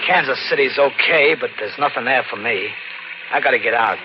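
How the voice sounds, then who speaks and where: A man's voice: medium pitch, a little fast, background noise, lightly acted. An actor playing a scrappy, broke young male drifter in an old-time radio drama, talking in slangy, colloquial style. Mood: breezy, slangy. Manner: colloquial, slangy hard-boiled narration. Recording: muffled vintage radio recording. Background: loud dramatic music.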